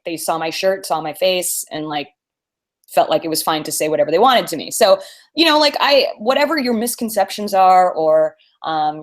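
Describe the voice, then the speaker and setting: An American woman co-hosting a podcast, telling anecdotes in a casual, animated way and laughing easily as she talks. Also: fairly low pitch. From born in Mobile, Alabama; grew up on the US East Coast. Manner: animated storytelling, laughs easily while talking. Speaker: podcast co-host.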